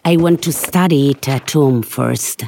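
A woman's voice: very deep voice, a little slow, creaky, dark tone, slight background noise, exaggerated voice. A woman playing a defiant, sardonic scientist in an audio drama. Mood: confiding, eager. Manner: sardonic, challenging. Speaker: moth researcher.

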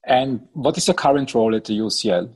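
A man hosting a podcast who reads a formal introduction and then asks interview questions in a measured way. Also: mid-range voice, a little mellow, slightly rough. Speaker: podcast host.

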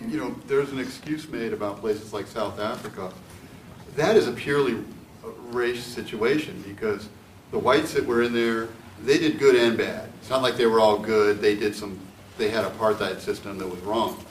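Man, medium pitch, roomy distant mic, opinionated unscripted talk. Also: normal speed. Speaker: discussion participant. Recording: echoey, distant mic, faint noise.

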